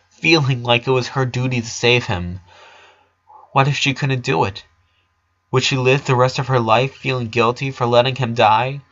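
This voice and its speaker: A man's voice: medium pitch, a bit bright, muffled recording. A man reading a story aloud as its narrator, in scripted prose.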